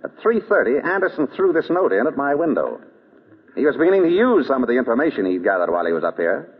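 Shrewd, animated radio detective. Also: male voice, medium pitch, fast, slightly rough, highs cut off. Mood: probing, wry. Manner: wry, probing, controlled. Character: shrewd, unruffled male detective questioning suspects. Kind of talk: 1930s-40s radio drama acting. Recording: thin old radio broadcast.

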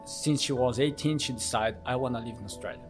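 A man's voice: mid-range voice, a little fast, music in the background. A man in his late thirties from Brazil whose first language is Korean, frankly telling his own story in a casual interview.

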